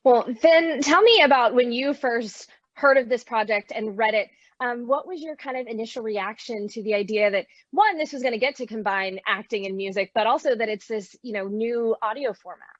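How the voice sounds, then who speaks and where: Higher-pitched female moderator, slightly dull audio. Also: moderate pace, hardly any pauses, no room sound. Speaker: panel moderator. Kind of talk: conversational interviewing.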